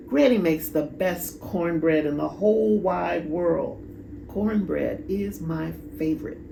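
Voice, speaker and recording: A woman reads a picture book aloud to children. Her voice is creaky and slow-paced, with drawn-out, expressive emphasis. Clear background noise runs under the amateur recording. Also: lowish voice, reverberant. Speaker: children's storybook reader. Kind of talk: expressive read-aloud storytelling with asides.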